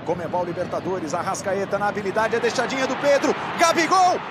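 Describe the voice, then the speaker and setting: A Brazilian man calling football goals on a broadcast, shouting excited goal calls. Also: sits high, brisk, heavy background noise. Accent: Brazilian Portuguese. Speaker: play-by-play commentator. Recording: broadcast over loud stadium crowd. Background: roaring stadium crowd.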